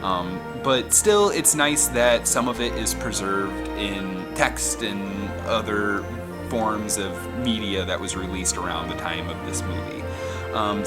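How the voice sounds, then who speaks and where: A man who hosts and narrates audiobook readings in an expressive, dramatic way, at times speaking casually to listeners. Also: medium pitch. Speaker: narrator and channel host. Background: film score music.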